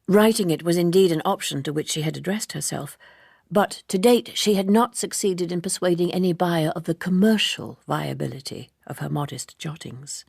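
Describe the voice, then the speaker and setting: A woman reading literary narration as an audiobook narrator, in a measured, precise and formal way. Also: on the low side, vocal fry, a little mellow, husky, dry recording, studio quality.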